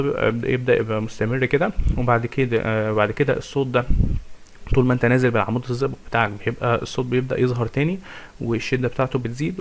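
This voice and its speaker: A young man with an Egyptian Arabic accent giving a fast exam revision lecture on medicine, with many fillers and restarts, and often asking his listeners questions. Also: medium pitch, vocal fry. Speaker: medical tutor.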